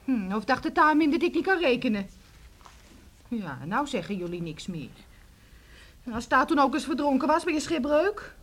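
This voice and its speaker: An actress in a Dutch radio play playing a young pregnant village daughter, defiant and distraught, with a blunt, emotional delivery. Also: female voice, high-pitched, sing-song, normal speed, long pauses. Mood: defiant, sullen. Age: young adult. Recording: noisy old radio play recording.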